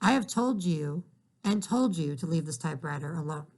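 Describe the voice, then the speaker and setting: A man's voice: a little high, animated, normal speed, pitched below the performer's own voice. A man reading as a stern, impatient mother. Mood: scolding. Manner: scolding, impatient. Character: stern, absent-minded mother, a writer.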